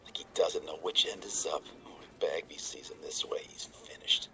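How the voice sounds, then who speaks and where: Casting: gravelly whispered spiteful thoughts, very deep. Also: male voice, moderate pace, pushed and bright, heavily acted. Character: spiteful male coworker, heard as thoughts.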